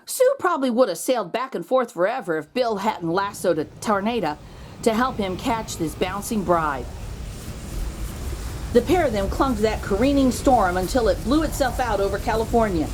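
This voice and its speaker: Animated, higher-pitched woman reading a storybook. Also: normal speed, a little hiss or hum. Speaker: host reading a children's picture book aloud. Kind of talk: animated storybook read-aloud for children.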